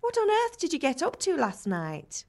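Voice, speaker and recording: A woman's voice: high-pitched, normal speed, creaky, exaggerated voice. An actress playing a middle-aged village mother in a medieval game, who scolds and teases with affection. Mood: scolding. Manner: nagging, teasing, animated. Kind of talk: dramatic video game dialogue.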